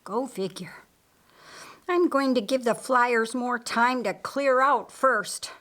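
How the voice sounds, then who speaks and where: Woman voicing a wry, practical older sister, unhurried. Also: fairly high pitch, animated, fairly bright tone, pitched above the performer's own voice. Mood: wry grumbling.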